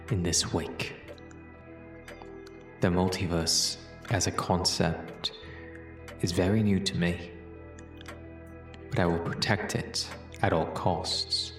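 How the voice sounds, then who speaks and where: Casting: male actor as earnest, guilt-ridden sci-fi doctor, low and deliberate, roomy echo, for audio drama. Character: defiant, guilt-ridden time-travelling doctor, determined to make amends. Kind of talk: dramatic scripted fan audio acting.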